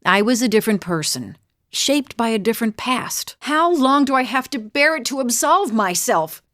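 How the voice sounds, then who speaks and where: A woman's voice: fairly high pitch, expressive, normal speed, a bit bright, no room sound, studio quality. A woman playing a weary, wry middle-aged adventurer in a video game, confiding in a plain-spoken way. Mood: anguished. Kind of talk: video game character dialogue.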